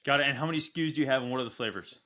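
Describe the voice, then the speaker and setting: A man hosting a podcast, asking quick casual questions and joking with his guests. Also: mid-range voice, bright, forceful tone. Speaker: podcast host.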